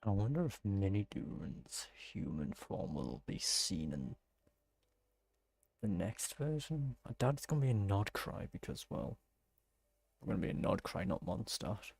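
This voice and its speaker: Low, creaky-voiced man commenting on a game. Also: expressive, unhurried, darkish tone, no room sound. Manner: thinking aloud, self-correcting. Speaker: game streamer. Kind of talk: casual game playthrough commentary.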